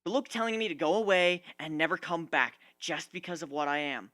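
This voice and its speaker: A narrator acting an indignant young male griffin, loud and bright. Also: mid-range voice, lively intonation, brisk, no echo, clean studio sound, close to an everyday voice. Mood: bitter ranting. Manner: ranting, emphatic, aggrieved.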